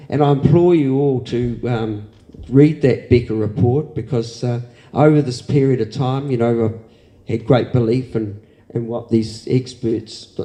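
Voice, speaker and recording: A man, a councillor addressing a community meeting in an earnest, halting way with many pauses. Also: medium pitch, mellow.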